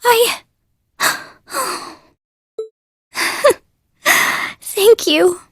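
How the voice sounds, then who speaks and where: An actress playing a sweet, refined teenage princess in an anime game dub, with genteel, formal phrasing. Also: female voice, very high voice, very slow, a bit bright, no room sound, studio quality, heavily acted. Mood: distressed, faltering. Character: sweet, poised teenage princess putting on a brave face.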